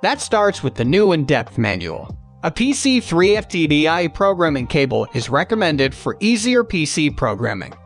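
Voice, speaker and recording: A man with a high-pitched voice narrates a scripted product countdown. His pitch moves in lively swings from phrase to phrase, with faint music playing behind him. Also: moderate pace.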